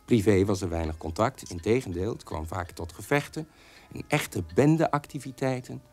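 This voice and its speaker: Bitter, defiant son, animated radio monologue. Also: male voice, fairly low pitch, normal speed, faint music behind. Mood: recounting. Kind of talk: Dutch radio drama monologue.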